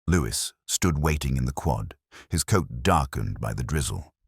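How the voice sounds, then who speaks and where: A man plays a weary older detective looking back on a case in a mystery audio drama. The voice is extremely deep, rising and falling expressively in measured, literary phrases. It sounds brooding and wry, recorded dry and close. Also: lively intonation, breathy edge, no echo, exaggerated voice. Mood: sombre, reflective. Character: weary, melancholy older male English detective narrating his case. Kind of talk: literary first-person mystery narration.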